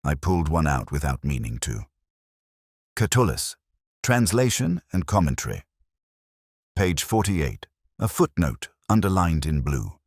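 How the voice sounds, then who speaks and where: Very low voiced guy reading a detective story, slow thoughtful first-person narration, lots of pitch movement. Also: very animated, darkish tone, breathy edge, no room sound, studio quality. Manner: measured, reflective.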